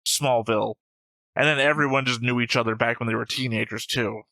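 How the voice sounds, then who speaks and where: A man's voice: mid-range voice, steady tone, a little fast, gravelly, pushed and bright, no echo, rough recording. A man hosting a reaction show, talking casually and argumentatively with frequent swearing. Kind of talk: casual discussion, reacting to an animated series.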